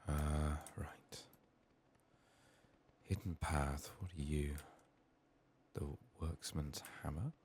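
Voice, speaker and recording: A man quietly narrating a game playthrough in soft-spoken ASMR style, murmuring to himself and often dropping toward a whisper. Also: very deep voice, fairly even intonation, very slow, long pauses, slight background noise. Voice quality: soft, hushed, breathy in whispers. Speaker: gaming streamer.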